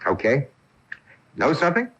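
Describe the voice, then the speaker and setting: Affectionate, skeptical boyfriend: plainspoken, emphatic, lively inflection. Radio mystery on a lo-fi broadcast. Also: male voice, a little high, normal speed, slightly rough, full character voice. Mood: breezy. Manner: casual, emphatic. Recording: dull off-air AM radio recording.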